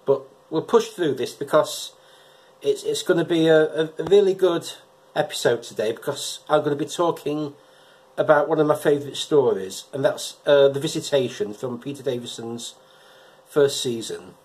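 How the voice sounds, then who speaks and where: A chatty middle-aged man with a cold talks straight to his camera. His voice sits slightly high for a man, and he stumbles and repeats words as he goes. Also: normal speed, darkish tone. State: has a head cold. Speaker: vlogger reviewing episodes. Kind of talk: casual personal vlog talk. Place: living room indoors, and a car park outdoors at night.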